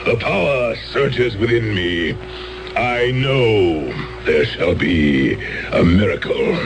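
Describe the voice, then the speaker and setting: A sonorous cult preacher. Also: male voice, on the low side, expressive, reverberant, music in the background, low-quality recording. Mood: solemn. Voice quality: slow, sonorous, declamatory delivery. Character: charismatic male cult leader, grandly solemn faith healer. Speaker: preacher healing before followers. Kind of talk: 1970s radio drama acting.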